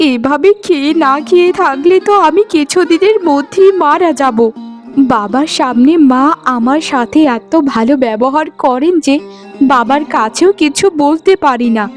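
Meek young cartoon stepdaughter, played by a woman, high voice, pleading, plaintive, faint music behind. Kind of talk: melodramatic Bengali cartoon dialogue.

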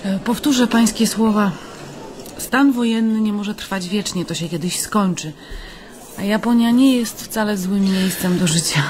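An actress in a naturalistic radio drama playing a friendly Polish émigré woman, gentle, informal and slightly hesitant as she converses. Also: mid-range voice, measured pace, lightly acted. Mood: consoling, apologetic.

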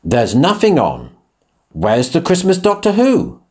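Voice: medium pitch, huge pitch swings, unhurried, a little mellow, breathy edge, exaggerated voice. A performer voicing a grumpy, grumbling male grizzly bear in a radio pantomime. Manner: grumbling, sarcastic.

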